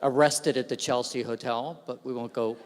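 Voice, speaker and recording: A man giving a humorous, self-deprecating speech at an awards ceremony, telling stories with lively asides into a stage microphone. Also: medium pitch, moderate pace. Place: large event hall. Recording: long reverb of a large hall.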